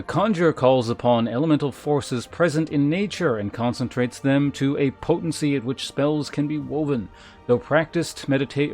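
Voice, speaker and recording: Casual male game streamer over music. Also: mid-range voice. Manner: informal, thinking aloud. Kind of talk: casual livestream chat, reading game text aloud.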